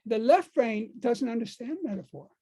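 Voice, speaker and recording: An older American man giving an informal talk to a group, speaking haltingly and repeating words and phrases for emphasis. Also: very high voice, expressive, warm tone. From United States. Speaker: speaker on dream work.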